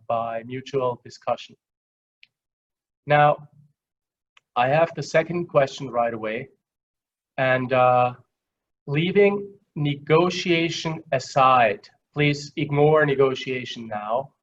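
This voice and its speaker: A man with an Austrian accent giving a webinar lecture, explaining with simple examples in a halting, conversational way. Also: medium pitch, slight background noise. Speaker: guest lecturer. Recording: dull, lo-fi online call audio.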